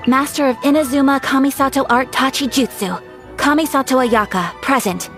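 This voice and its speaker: An actress playing a gentle young noblewoman and swordswoman in a video game, speaking with poised, courteous, poetic formality. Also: high voice, moderate pace. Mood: gracious, wistful. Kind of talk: video game character voice lines. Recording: studio voice over background music.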